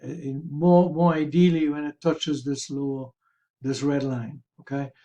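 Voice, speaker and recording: Male market commentator, dark mellow tone, a little raspy and hoarse, careful hesitant explanatory delivery. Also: a little high, fairly even intonation, measured pace. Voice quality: slightly raspy. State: hoarse, throat-clearing. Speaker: host of a stock market review.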